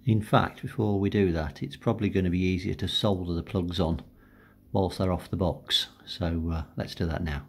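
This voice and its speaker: A man's voice: sits low, steady tone, moderate pace. A middle-aged man thinking aloud in an informal, hesitant way as he gives a casual hands-on demonstration. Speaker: hobbyist radio video host. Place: indoors at home workbench and radio desk. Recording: clear background hiss and room noise. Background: radio static, ambient noise.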